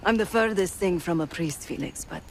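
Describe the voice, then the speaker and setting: An actress playing a wry, cynical covert operative in a video game cutscene. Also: female voice, on the low side, moderate pace, vocal fry, a little hiss or hum. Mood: wry, curious.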